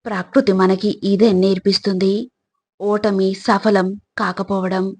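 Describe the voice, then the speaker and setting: A woman reading scripted motivational narration in a deliberate, instructive way, addressing the listener directly. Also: mid-range voice, a little mellow, slightly rough.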